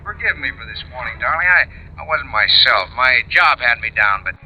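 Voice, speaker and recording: An actor playing a concerned, apologetic husband heard over a telephone in an old-time radio drama. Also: male voice, medium pitch, lively intonation, moderate pace, bright, forceful tone, muffled recording, full character voice. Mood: tender, concerned. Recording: noisy vintage radio telephone sound.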